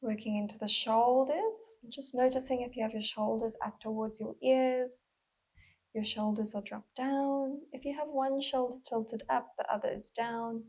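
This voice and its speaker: A woman with a fairly high, husky voice that often drops into creak. She guides a mindful body scan gently, leaving unhurried pauses between short, breathy phrases. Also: steady tone. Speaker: mindful movement instructor.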